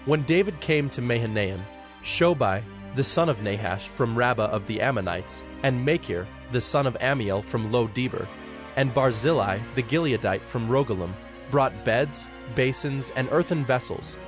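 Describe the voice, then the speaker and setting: A male narrator reads scripture in a medium-pitched voice. His delivery is solemn and measured, and music plays clearly underneath throughout. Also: expressive.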